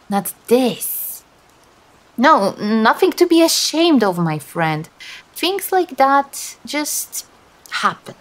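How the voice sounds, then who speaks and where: A woman acts out a female demon for an ASMR roleplay, teasing yet sympathetic. Her voice sits fairly high and moves very slowly, with soft, coaxing delivery. Dramatic hesitations keep it intimate. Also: full character voice. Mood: awkward, taken aback.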